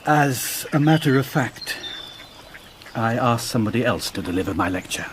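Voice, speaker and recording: Proud gentleman suspect for radio drama, male actor: stiff, guarded, curt, medium register. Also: mid-range voice, lively intonation, normal speed, slight background noise, natural voice.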